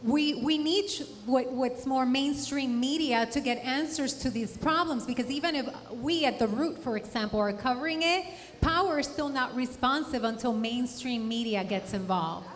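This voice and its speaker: A woman's voice: high voice, moderate pace. A woman moderating a live panel discussion and steering it between speakers. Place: event hall panel. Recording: reverberant hall with light background noise.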